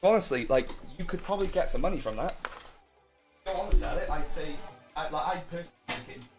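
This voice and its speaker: A man's voice: fairly high pitch, expressive, quick pace, over music. A young man chatting casually on a live stream, rambling with lots of fillers and restarts while he answers chat. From Wales.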